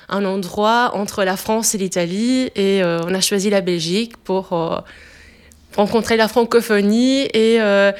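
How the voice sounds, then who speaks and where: This is a woman in her twenties whose first language is Italian, speaking French with an Italian accent. Her voice is smooth and clear. She talks at a relaxed, searching pace, often pausing on fillers as she gathers her thoughts. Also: medium pitch. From Italy. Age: 20s. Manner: hesitant, many filler pauses. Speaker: interviewee, French teacher. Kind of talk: casual interview answers.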